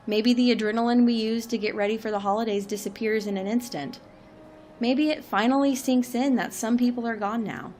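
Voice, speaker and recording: A woman's voice: a little high, steady tone, fairly quick, a little mellow, a little hiss or hum, lightly acted. A woman playing the wry director of a secret organisation in a dry comedic audio drama. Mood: wistful.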